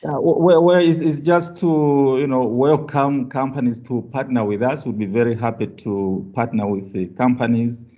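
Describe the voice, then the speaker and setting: A man's voice: medium pitch, fairly even intonation, moderate pace, hardly any pauses. A man speaking off the cuff as a professor addressing a business forum. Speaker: professor, university representative. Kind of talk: unscripted forum remarks. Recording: dull, lo-fi online call audio.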